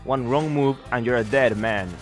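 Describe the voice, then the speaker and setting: A man's voice: medium pitch, moderate pace, natural voice. A man acts out a menacing gunman in a radio drama. He barks orders coldly in a mid-range voice. Faint music runs underneath. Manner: threatening, barking orders. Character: menacing armed robber, cold and commanding. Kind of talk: radio play acting.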